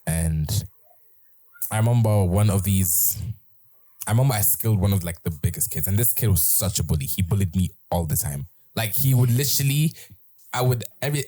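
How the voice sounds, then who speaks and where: Young British man, very low mellow voice, rambling casual storyteller, dry close mic. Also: no echo. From Britain. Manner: rambling, repeats and restarts, lively storytelling. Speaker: host.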